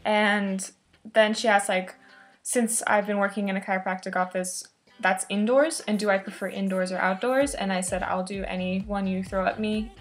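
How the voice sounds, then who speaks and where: A woman's voice: medium pitch, steady tone, normal speed, soft background music. A woman around twenty chatting casually to camera as a student vlogger, in an informal, rambling way, often interrupting herself. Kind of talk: casual chat to camera recounting an experience.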